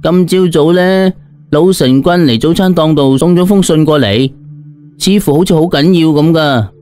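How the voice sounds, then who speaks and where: A man's voice: on the high side, normal speed, a little mellow, faint music behind, natural voice. A man voicing a lazy, hungry young former hustler in an audiobook, talking casually in slang.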